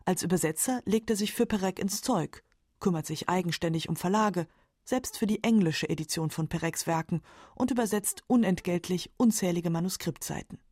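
Lady narrating a radio documentary, lowish mellow voice, dry studio sound. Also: moderate pace, no echo.

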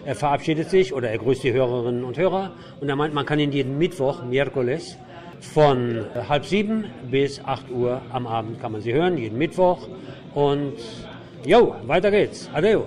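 A man's voice: mid-range voice, expressive, normal speed, a little mellow. A man hosting a radio show, casually interviewing and relaying answers as an interpreter. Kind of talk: casual interview with spoken translation.

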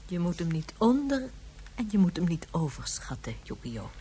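An actress in a Dutch radio drama playing an anguished, tender mother of a missing baby, speaking in an emotional, pleading, soft-toned way. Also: lowish voice, big pitch swings, normal speed, slightly rough, exaggerated voice. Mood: gently insistent.

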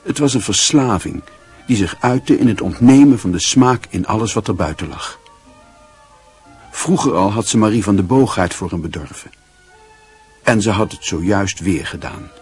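A man's voice: lowish voice, normal speed, a little mellow, soft background music, lightly acted. A man giving wry, scripted literary narration in a Dutch radio play. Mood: wry, reflective.

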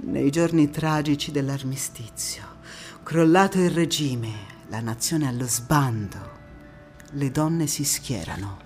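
An actress playing a passionate wartime partisan woman in a theatrical monologue, phrasing every line with deliberate emphasis. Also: a bass voice. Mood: hushed, grave. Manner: emphatic, deliberate phrasing swinging between hushed intimacy and fervour. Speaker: stage actress. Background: music.

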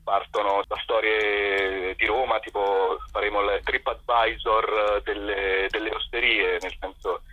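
Husky man on a dull roomy line. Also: lowish voice, flat delivery, measured pace, few pauses, sharp tone. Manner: rambling, hesitant, self-deprecating joking. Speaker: interview guest, theatre company performer. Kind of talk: casual radio interview chat. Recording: dull, boxy, roomy phone-line sound.

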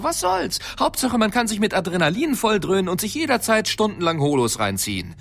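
An actor playing a bitter, indignant time traveler from Earth in a science fiction radio drama, coarse and impassioned. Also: male voice, high-pitched, fairly bright tone, slightly rough, full character voice.

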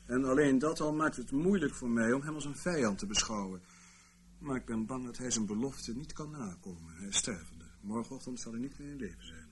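Actor as urbane, officious intelligence civil servant. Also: male voice, mid-range voice, a little fast, a little hiss or hum, close to an everyday voice. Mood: grave, regretful. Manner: urbane, officious, mock-regretful, hesitant asides. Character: wry, wheedling senior British intelligence civil servant, male. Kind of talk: radio play acting.

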